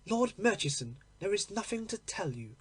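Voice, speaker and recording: A man's voice: high voice, sing-song, moderate pace, slightly rough, voice pushed up, exaggerated voice. A man voicing a secretive, defiant aristocratic lady in a storybook reading, guarded and defensive.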